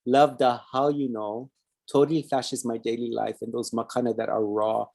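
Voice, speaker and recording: A man's voice: mid-range voice, little pitch movement, moderate pace, vocal fry, darkish tone. A man with a Hawaiian Pidgin accent hosting a talk show, chatting loosely and reading viewer comments aloud with Hawaiian words mixed in. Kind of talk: casual live-stream sign-off chat.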